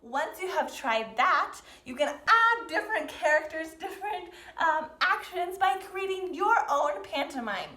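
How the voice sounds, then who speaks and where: Lady dance teacher w a high voice, encouraging, walking kids thru moves, roomy echo. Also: animated, a little slow, hardly any pauses. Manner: encouraging, gently prompting. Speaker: dance teacher leading a children's pantomime lesson. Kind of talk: instructional demonstration addressed to young learners. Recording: roomy, echoing sound.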